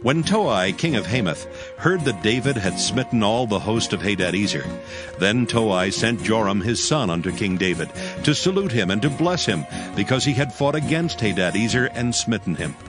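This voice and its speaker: A man narrating scripture from a script in a formal, measured storytelling manner. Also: on the low side, animated. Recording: music behind the voice. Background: music.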